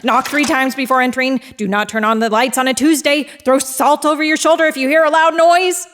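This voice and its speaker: An actress playing a nervous new night-shift worker reading out strange rules. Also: female voice, high voice, steady tone, fairly quick, fairly bright tone, big-room sound. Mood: anxious. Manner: anxious, jittery.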